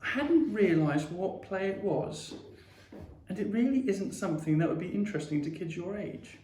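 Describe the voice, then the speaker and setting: Measured, firm mother, read by a man, raised pitch, storybook narration, echoing room. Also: animated, a little mellow, distant mic, a little hiss or hum. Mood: careful, gentle. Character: mother, measured but firm, weary with squabbling children.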